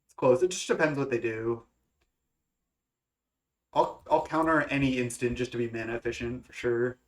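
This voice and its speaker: Young man, stuffy nose, hesitant, lots of ums, casual game commentary, average pitch. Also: fairly even intonation, normal speed. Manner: thinking aloud, hesitant with many fillers and restarts. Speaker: gaming content creator. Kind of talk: casual play-by-play of a card game.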